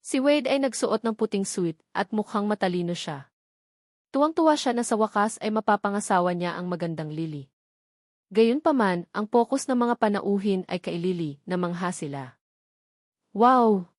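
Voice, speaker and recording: A woman's voice: medium pitch, animated, normal speed, a little mellow, no room sound, studio quality. A woman with a Filipino accent reading scripted novel narration as an audiobook narrator.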